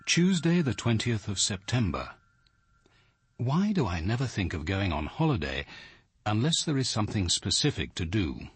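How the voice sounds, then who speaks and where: English detective, reserved, dry wit, measured delivery, husky low voice, radio drama. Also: male voice, very animated, no room sound, exaggerated voice. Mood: reflective. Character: reserved, dryly witty male detective who writes poetry. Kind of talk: British radio drama acting and reflective narration.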